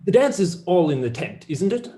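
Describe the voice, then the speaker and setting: An exasperated young gentleman in a stage play, acted by a man, recorded in an echoey room. His high voice is abrupt and distracted. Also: sing-song, normal speed.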